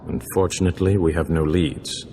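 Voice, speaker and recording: A man playing a grave, formal Jedi master in a video game, speaking deliberately. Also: deep voice, heavy background noise, natural voice. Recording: long reverb, music behind.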